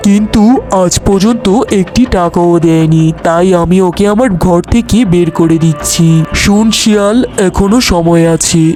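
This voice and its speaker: A woman's voice: fairly low pitch, moderate pace, a little mellow, faint music behind, close to an everyday voice. A woman voicing a greedy, bullying male tiger landlord in a cartoon, with a domineering manner. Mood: bossy. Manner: domineering, threatening.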